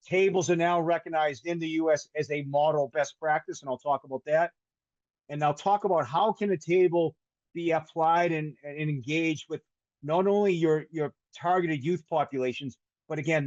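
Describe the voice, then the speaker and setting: A man's voice: fairly high pitch, normal speed, a little mellow, no room sound. An older man with a Boston accent presenting a live webinar, rambling with restarts and a joking tone. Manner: rambling, restarts and self-corrections, jokey. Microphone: video call microphone. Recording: dull webinar call audio.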